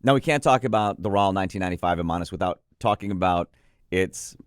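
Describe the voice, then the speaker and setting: Low-pitched man giving an emphatic review. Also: fast, clear, resonant tone, no room sound, studio quality. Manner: emphatic, enthusiastic superlatives. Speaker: headphone reviewer co-hosting a product preview. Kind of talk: conversational product review.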